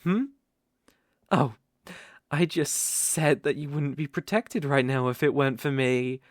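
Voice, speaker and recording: A man voicing a coaxing, possessive naga monster in a roleplay ASMR audio drama, with a creaky, teasing delivery. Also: mid-range voice, steady tone, no echo, clean studio sound, close to an everyday voice. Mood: possessive, warning. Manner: slow, coaxing, teasing with soft chuckles. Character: smooth-talking male naga monster, coaxing, possessive predator.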